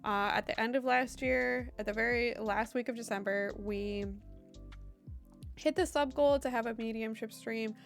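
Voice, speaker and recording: A woman with a fairly high, hoarse voice that keeps threatening to give out. She talks to her stream audience in a loose, rambling way, full of "um" and "like". Faint music plays behind her. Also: steady tone, normal speed. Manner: chatty and meandering, heavy on fillers like "um" and "like". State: hoarse, voice intermittently giving out. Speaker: live streamer and medium. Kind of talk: casual live-stream chat with viewers.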